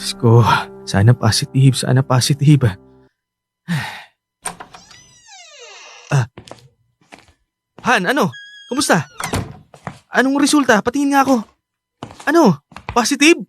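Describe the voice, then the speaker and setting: An actor playing an upbeat, reassuring young husband in a Filipino radio drama, coaxing and encouraging with a Filipino accent. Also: male voice, high-pitched, animated, unhurried, long pauses. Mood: excited, hopeful. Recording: music bed under the voice.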